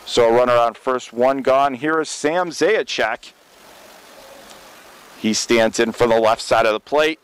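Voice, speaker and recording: A man doing radio play-by-play for a college baseball game, steady and measured, rising sharply when a play breaks open. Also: mid-range voice, smooth.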